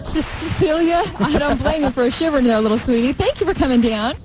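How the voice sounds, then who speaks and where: Female American breakfast radio host, high voice, noisy outdoor live broadcast. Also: quick pace, a little mellow. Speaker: morning radio show co-host. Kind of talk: live radio chat and interviews. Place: outdoors in the cold at a supermarket event.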